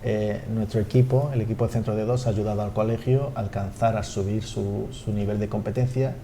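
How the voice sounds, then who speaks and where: A man, a language school director interviewed on TV, speaking haltingly with repeated words and fillers. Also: lowish voice, steady tone, warm tone, slight background noise. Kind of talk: TV studio interview.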